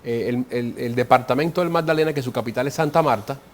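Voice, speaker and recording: A man's voice: mid-range voice, fast talker, slight background noise. A man in his forties with a Colombian Caribbean coastal accent, a guest in an interview who explains things conversationally and often repeats words while he looks for the next one. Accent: Colombian Caribbean coastal Spanish. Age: 40s.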